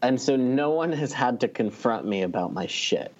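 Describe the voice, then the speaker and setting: A man's voice: mid-range voice, expressive, normal speed, a little mellow. Wry man chatting, slightly muffled sound. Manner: wry, self-deprecating, profane. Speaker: podcast co-host. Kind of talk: casual chat among friends.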